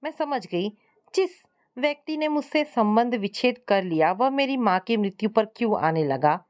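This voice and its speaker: A woman's voice: a little high, lively intonation, moderate pace, a little mellow. A woman reading a scripted first-person family story as its narrator.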